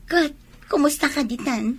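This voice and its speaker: A woman playing a coy, high-voiced mistress. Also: moderate pace. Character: flirtatious mistress, sweet-talking.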